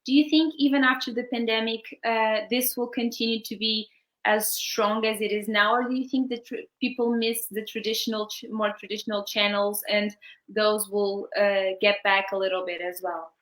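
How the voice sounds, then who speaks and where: A woman's voice: mid-range voice, fairly even intonation, moderate pace, fairly bright tone. Hesitant woman interviewer in an echoey room. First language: Portuguese. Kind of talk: conversational interview questions.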